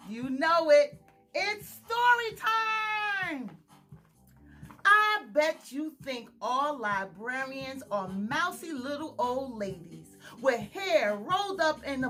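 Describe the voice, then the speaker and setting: A woman reads a picture book aloud to children in a very bright, high voice. She takes her time, stretching words in an exaggerated singsong and addressing the listeners with questions. Faint music plays behind her. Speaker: children's storytime host, author. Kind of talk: animated read-aloud storytelling to children.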